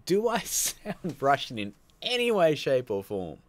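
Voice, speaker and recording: A man's voice: fairly high pitch, wildly swooping pitch, a little slow. An Australian man hosting a live stream, rambling casually as he reads out viewer comments and answers them with frequent laughs. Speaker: livestream host.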